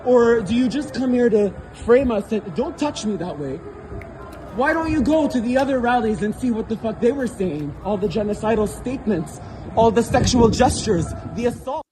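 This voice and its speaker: A man's voice: extremely high pitch, lively intonation, moderate pace. A man whose first language is Arabic, a protester insistently demanding answers in a heated confrontation outdoors. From Syria. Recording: noisy outdoor crowd recording. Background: crowd noise.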